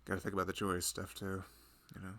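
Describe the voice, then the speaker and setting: A man playing a loving, nervous young husband and father-to-be in an intimate, rambling, soft-spoken ASMR roleplay. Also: monotone, quick pace. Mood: tender, hopeful. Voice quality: low, gravelly voice. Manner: rambling, soft-spoken, chuckling, often drifting toward a murmur.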